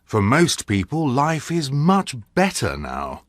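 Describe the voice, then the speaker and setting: A man voicing a calm, sensible male doctor in a storybook reading, mild and straightforward. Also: medium pitch, slow pace, no room sound, pitched above the performer's own voice.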